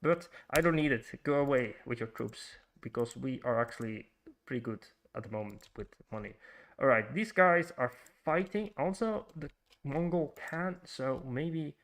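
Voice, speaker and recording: A man's voice: medium pitch, expressive, normal speed, fairly bright tone. A man with a Frisian Dutch accent streaming a strategy game, thinking aloud, greeting viewers and stumbling through fillers and restarts. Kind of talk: casual livestream commentary while playing.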